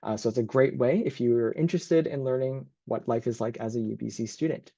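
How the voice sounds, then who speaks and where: A man's voice: mid-range voice, brisk, gravelly, dark tone, no room sound, lo-fi sound. A man working as a university admissions advisor, hosting and presenting an informal online information session.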